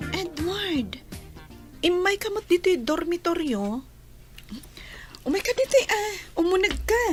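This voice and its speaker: A woman playing a gentle young college student in love, in a radio drama. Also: very high-pitched, big pitch swings, a little slow, faint music behind, heavily acted. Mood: friendly.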